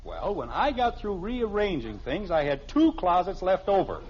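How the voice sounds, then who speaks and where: A man's voice: high-pitched, very animated, normal speed. An American actor playing a stubborn, pompous middle-aged family father in a 1950s radio comedy, defensive and insistent. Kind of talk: 1950s radio sitcom acting. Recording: noisy, muffled early 1950s radio recording.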